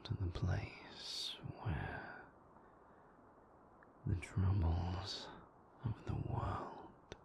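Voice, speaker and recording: Man acting as low, whispering moody vampire. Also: fairly even intonation, heavily acted. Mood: soothing, tender. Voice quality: breathy whisper with gravelly low undertone. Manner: slow, soft, soothing, intimate delivery. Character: moody, guarded, tender male vampire lover. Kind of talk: whispered ASMR audio roleplay.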